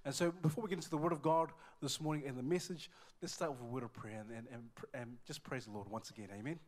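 A man with a New Zealand accent, a visiting evangelist speaking and praying at a church service, lively and repeating his words often. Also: mid-range voice, quick pace. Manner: lively, repeats words, many praise interjections. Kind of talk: church talk and prayer.

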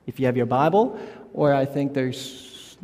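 A man in his thirties, from South Korea, preaching as a youth pastor in a halting, informal, friendly way. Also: medium pitch, darkish tone. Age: 30s. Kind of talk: sermon. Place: church sanctuary. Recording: long church reverb.

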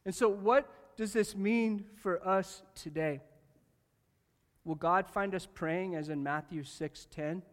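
A man preaching a sermon to his congregation, animated and jokey, swinging from loud bursts to quiet asides and talking straight to the room. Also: sits high, slow pace. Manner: jokey, talks directly to the congregation. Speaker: pastor. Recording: long reverb of a large hall.

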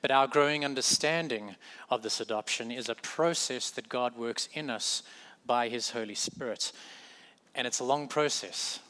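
A man's voice: medium pitch, moderate pace, bright, forceful tone. A man with a South African accent preaching a sermon in a conversational way, correcting himself and adding light humorous asides.

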